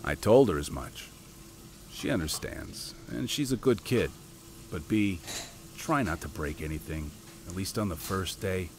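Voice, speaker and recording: A man playing a devoted father and veteran intelligence monitor in an audio drama, speaking in a measured, matter-of-fact way. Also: lively intonation. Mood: gentle. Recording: audible low rumbling background noise.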